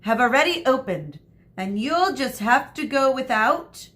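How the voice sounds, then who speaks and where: A woman's voice: high-pitched, animated, measured pace, fairly bright tone, pitched above the performer's own voice. A woman voicing a stern, impatient schoolteacher from a children's storybook, clipped and scolding.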